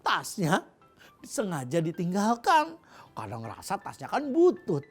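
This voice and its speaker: An Indonesian woman narrating a children's fairy tale in an animated, expressive way with dramatic pauses. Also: fairly low pitch, husky. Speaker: children's storyteller. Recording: music behind the voice. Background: music.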